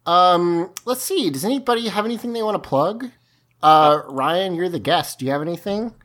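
A man's voice: high-pitched, lively intonation, moderate pace, a bit bright. A man hosting a casual group podcast, rambling with lots of fillers and frequent laughter. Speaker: podcast host.

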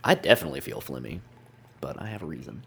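Young male co-host, very animated pitch, quick reactions and laughter, faint clicky noise. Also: creaky. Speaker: podcast co-host. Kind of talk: casual chat.

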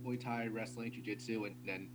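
A young man from New York City, a martial arts instructor and guest, talking in quick run-on sentences with restarts, laughs and blunt swearing. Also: on the low side, steady tone. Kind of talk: casual interview.

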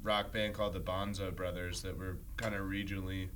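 A man's voice: low-pitched, little pitch movement, fairly quick, fairly bright tone, distant mic, a little hiss or hum. A man, a touring musician, chatting easily as a podcast guest. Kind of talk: casual interview chat.

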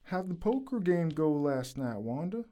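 A man's voice: fairly high pitch, sing-song, normal speed, mellow. A man playing a long-suffering, easygoing fellow, dry and folksy, in an audio drama. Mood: weary, wry.

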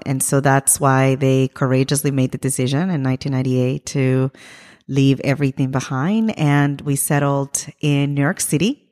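A woman's voice: very deep voice, in a large hall. A woman in her thirties from Ecuador, answering interview questions earnestly and reflectively, with frequent ums and uhs. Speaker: guest, immigrant rights leader.

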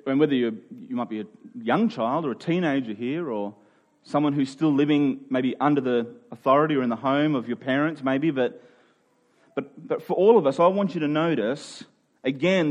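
A man in his forties preaching a sermon, earnest and emphatic, sometimes dropping to a quiet voice. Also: fairly high pitch, normal speed, highs cut off. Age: 40s. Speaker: pastor.

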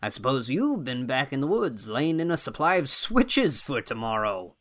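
Woman putting on a gruff, teasing old farmer. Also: rumbling low, very animated, normal speed, voice pushed down. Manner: gruff, drawling, rustic teasing.

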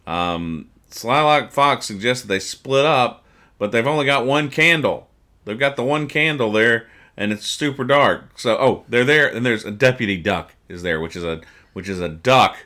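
A man hosting a podcast, giving casual comic commentary with mock-serious, exasperated deadpan nitpicking. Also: medium pitch, normal speed. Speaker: podcast host. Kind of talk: comedic casual commentary reading comic strips aloud.

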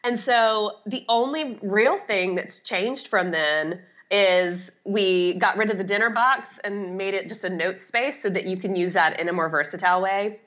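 A woman's voice: mid-range voice, measured pace, a bit bright, lo-fi sound. A middle-aged woman talking casually as an expert guest in an interview, with a chatty, emphatic, self-deprecating way of explaining. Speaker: guest, home organizing expert.

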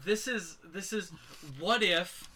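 A man's voice: high-pitched, slow pace, sharp tone, low-quality recording. A man co-hosting a movie podcast, reading out cast lists with hesitant ums and uhs. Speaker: podcast co-host. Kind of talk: casual chat.

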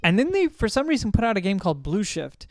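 A man's voice: sits high, big pitch swings, fast talker, dry recording. A man co-hosting a casual discussion, explaining his opinions in a rambling way. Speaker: podcast co-host. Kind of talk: casual discussion of video games and films.

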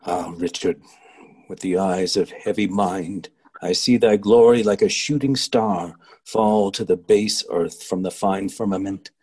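A man reading a mournful loyal lord, husky and low. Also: unhurried, darkish tone. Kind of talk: reading a play aloud.

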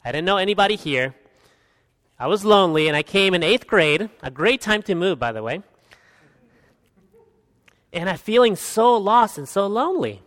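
A man in his thirties from Guatemala, preaching an expository sermon as pastor, earnest, swinging from emphatic peaks to quiet asides. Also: high voice, sing-song, moderate pace, long pauses. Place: church hall. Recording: long hall reverb.

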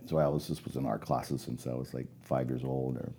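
A man's voice: a bass voice, steady tone, brisk, dark tone, slightly rough. A man in his forties who grew up in Canada, chatting casually as a guest, rambling with many restarts and fillers. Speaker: guest photographer. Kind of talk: casual podcast conversation.

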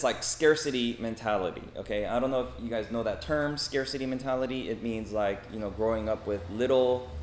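American man speaking in an echoey room. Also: medium pitch. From Los Angeles, United States. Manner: conversational, frequent check-in tags like "okay?" and "right?". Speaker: speaker giving a talk. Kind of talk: informal talk to a group, personal anecdotes. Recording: roomy echo, faint background noise.

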